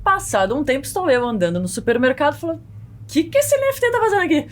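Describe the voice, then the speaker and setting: A woman's voice: sits high, wildly swooping pitch, fast. A woman with a Brazilian accent telling things in a casual, chatty way. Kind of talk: casual storytelling chat. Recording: faint background noise.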